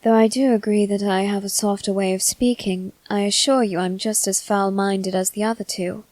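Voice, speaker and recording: A woman voicing a soft-spoken, politely teasing male demon servant in an ASMR roleplay, with formal manners. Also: medium pitch, steady tone, moderate pace, no echo, lightly acted. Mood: coy, polite. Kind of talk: ASMR roleplay addressed to the listener.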